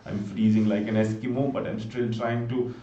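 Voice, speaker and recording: A man from India, Hindi his first language, speaking English with an Indian accent as he gives chatty solo live cricket commentary, bursting into excited exclamations and repeatedly pleading for subscriptions. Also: fairly low pitch, normal speed. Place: home room, bundled up against the cold. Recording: echoey room, distant mic, dull lo-fi sound.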